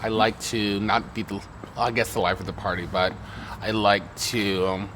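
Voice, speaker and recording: A man in his thirties from Harlem, New York, talking haltingly about what he loves, with many fillers. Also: lowish voice, fairly even intonation, fairly bright tone, a little hiss or hum. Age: 30s. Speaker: church member sharing his story. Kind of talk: casual personal reflection. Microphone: studio interview mic.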